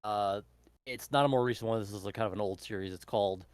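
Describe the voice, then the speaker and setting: Man chatting haltingly, very dry sound. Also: fairly low pitch, clear, resonant tone, dry recording. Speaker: book club member. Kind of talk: casual chat, recommending books.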